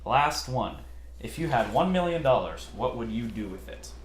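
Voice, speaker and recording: A man from California with a generally deep voice, hosting a casual question-and-answer chat and talking in a hesitant, easygoing way with lots of fillers. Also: sing-song, moderate pace. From California, USA. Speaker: channel host. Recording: roomy echo, faint noise.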